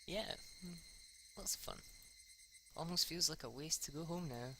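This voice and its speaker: A man's voice: a little high, moderate pace, noisy background, voice pushed up, natural voice. A man voicing a good-natured teenage city boy in an easygoing, informal visual novel reading. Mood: relaxed.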